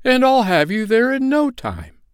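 A man voicing a mild, courteous little sea crab with formal, drawn-out politeness for a children's storybook. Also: very high-pitched, wildly swooping pitch, smooth, no echo, clean studio sound, pitched above the performer's own voice, full character voice. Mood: sociable. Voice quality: mild, small voice. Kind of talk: storybook character voice.

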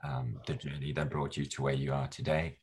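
Very deep husky male interviewer. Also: flat delivery, fairly quick. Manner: encouraging, affirming with short yeahs. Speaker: interview host. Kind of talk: conversational interview questions.

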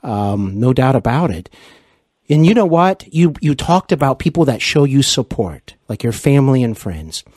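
A man hosting a casual interview podcast, speaking in an effusive, complimentary, folksy way with halting, deliberate phrasing. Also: medium pitch, big pitch swings, darkish tone, husky, dry recording, studio quality. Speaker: podcast host interviewing a guest.

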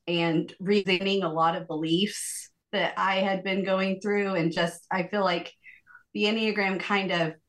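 A woman giving a reflective, earnest personal account in a group conversation, searching for her words as she goes. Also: on the low side. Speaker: group member sharing testimony.

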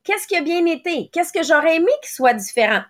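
A woman in her late thirties with a Quebec French accent, hosting a motivational morning podcast in a quick, conversational way. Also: extremely high pitch, animated. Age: late 30s. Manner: quick, conversational, acts out little quoted thoughts. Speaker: podcast host.

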